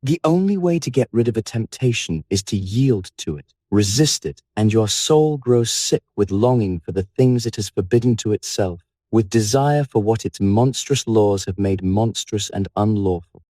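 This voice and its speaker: Male reader as suave, cynical lord for gothic audiobook: low, soft-edged, musical, persuasive lecturer. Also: animated, no echo. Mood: suave, philosophical. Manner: eloquent, deliberate, lecturing. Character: languid, persuasive English lord, cynical philosopher. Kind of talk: audiobook character voice.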